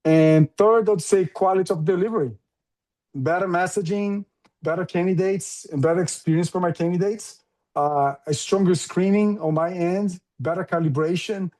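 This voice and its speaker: Middle-aged man, Brazilian accent, enthusiastic interview guest; fairly high, mellow voice. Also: normal speed. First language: Portuguese. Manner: enthusiastic, lists points with frequent fillers. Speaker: guest, recruiting business founder. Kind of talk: conversational interview.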